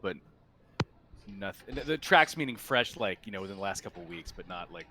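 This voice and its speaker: A man runs a tabletop roleplaying game in a lowish voice with a bright, crisp edge. He improvises his descriptions as he goes, in a halting way. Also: expressive. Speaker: game master.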